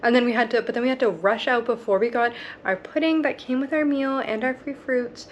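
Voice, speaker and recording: A woman's voice: a little high, a little fast, dull audio. A woman chatting casually to a vlog, informal and full of gushing emphasis. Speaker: travel vlogger. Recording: very noisy travel vlog audio.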